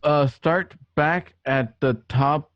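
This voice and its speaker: A man's voice: fairly high pitch, takes it slowly, no echo. A man casually hosting and directing a live online read, on a dull-sounding call. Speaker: host directing the live stream. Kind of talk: casual chat.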